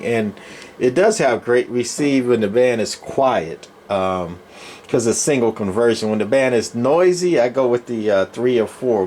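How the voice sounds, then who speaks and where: A man's voice: medium pitch, a little mellow. A man giving a casual, unscripted show-and-tell as a collector, talking in a rambling, folksy, plainspoken way. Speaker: radio collector presenting his collection. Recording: clear background noise, room ambience.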